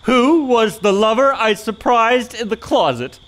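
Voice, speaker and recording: A man playing a jealous, hot-headed young gentleman in a period audio farce, haughty and declamatory. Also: very high voice, lively intonation, a little slow, exaggerated voice. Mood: jealous rage.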